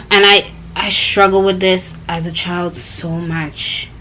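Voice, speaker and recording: A young woman vlogging in a loose, rambling way, addressing viewers directly. Her voice sits a little low for a woman. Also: little pitch movement, slow, bright, forceful tone, slight background noise, low-quality recording. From Canada. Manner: rambling, chatty, talks straight to viewers. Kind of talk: casual personal vlog talk.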